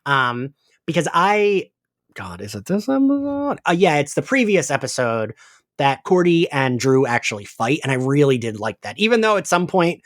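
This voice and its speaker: A man hosts a casual podcast with a lively, swooping delivery. His manner is self-deprecating and chatty, and the sound is dry, with very little room on it. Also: on the high side, moderate pace, no echo. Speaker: podcast host.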